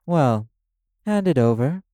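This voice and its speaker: A man's voice: medium pitch, animated, slow pace, dark tone, no echo. A man playing a proud, condescending arch-demon casino owner in an audio roleplay, drawling and teasing with pet names. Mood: amused, teasing. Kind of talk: dramatic audio roleplay acting. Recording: music under the voice. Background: music.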